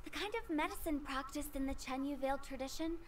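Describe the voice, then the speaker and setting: A woman's voice: high voice, quick pace, recorded from a distance, natural voice. A woman voicing a quirky, talkative teenage girl in a video game, telling things in a theatrical way. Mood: suspicious.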